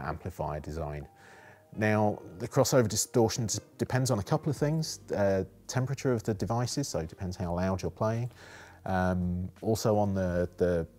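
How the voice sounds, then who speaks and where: A man's voice: low-pitched, mellow. A man giving an enthusiastic, technical explanation of a product as an engineer in an interview. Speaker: acoustic engineer presenting a product.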